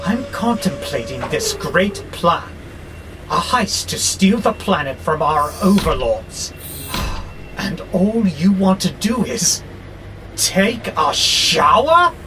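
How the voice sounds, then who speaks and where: A man plays an arrogant warehouse robot itching for revolution in an audio drama. His voice sits high and swings widely in pitch as he declaims, slow and exasperated. There is clear noise behind him. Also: big pitch swings, heavily acted. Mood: contemptuous. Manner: grandiose, slow and theatrical, exasperated. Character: arrogant, frustrated male warehouse robot plotting war on humans. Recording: clearly noisy background hum.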